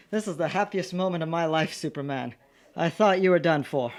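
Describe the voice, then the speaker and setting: A woman playing an earnest, excitable man, a hero's loyal companion, in an old-time radio serial. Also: on the low side, normal speed, natural voice. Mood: overjoyed.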